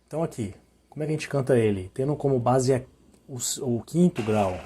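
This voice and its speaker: A hoarse man teaching musical intervals, singing note names to demonstrate them and checking in often with short questions. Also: medium pitch, brisk, dark tone. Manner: sings interval notes to show them. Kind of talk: sung and spoken music lesson.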